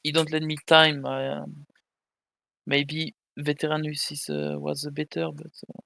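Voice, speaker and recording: A French man speaking English with a French accent, a tournament player answering interview questions haltingly while searching for words. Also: a little high, monotone, vocal fry, bright, forceful tone, dry recording. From France. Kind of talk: casual interview over voice chat.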